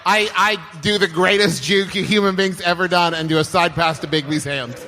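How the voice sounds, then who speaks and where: High-voiced man playing tabletop, echoey hall. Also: fairly even intonation, moderate pace. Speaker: player in a tabletop game. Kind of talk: live improvised tabletop play. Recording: echoey hall, live show with loud music.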